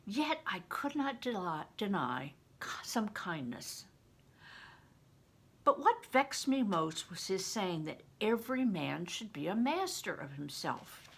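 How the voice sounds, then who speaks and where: Older female storybook reader: slow, very animated pitch, deliberate dramatic stress. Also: creaky, slightly rough. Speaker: audiobook reader, retired teacher. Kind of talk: expressive read-aloud of a children's novel.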